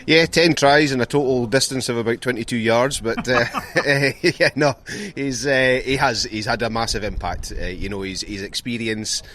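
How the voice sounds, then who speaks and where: A man, a rugby coach, answering questions in a measured, hesitant way in a pre-match interview. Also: mid-range voice, steady tone, fairly bright tone. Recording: faint outdoor background noise.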